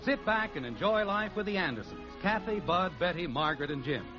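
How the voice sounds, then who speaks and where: A man's voice: high voice, animated, a little fast, muffled recording, over music. A man with an American accent announcing in a scripted 1950s radio style on an old broadcast recording.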